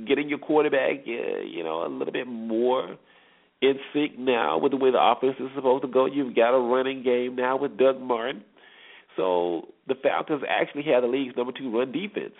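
A man's voice: medium pitch, moderate pace, gravelly. A man hosting a sports radio show, giving conversational commentary and game previews over muffled, narrow-band audio.

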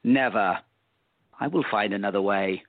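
An actor in a radio play playing an arrogant, indignant villain, with a gravelly voice and a cold, haughty manner. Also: male voice, lowish voice, moderate pace, dry recording, natural voice. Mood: defiant. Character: indignant, arrogant male time-meddling villain. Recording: thin, dry phone-like sound.

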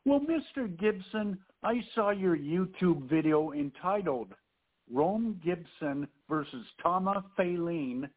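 A man's voice: sits high, animated, slow pace. Stiff formal man on a phone line. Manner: formal, stiff, asks long questions. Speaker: podcast co-host. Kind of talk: interviewing a guest.

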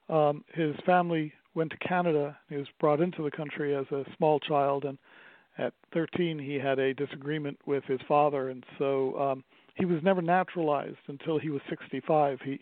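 A middle-aged man, a theater owner speaking as a radio interview guest, pausing often with fillers and restarting his sentences. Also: on the high side, dry recording. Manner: halting, many fillers and restarts. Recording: dry, tinny phone-like line.

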